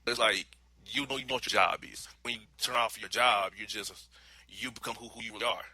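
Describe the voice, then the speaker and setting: Fast-talking, bright-voiced male radio host. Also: fairly low pitch, steady tone, rough recording. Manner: rapid, loose and rambling, with laughing asides. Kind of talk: casual radio banter.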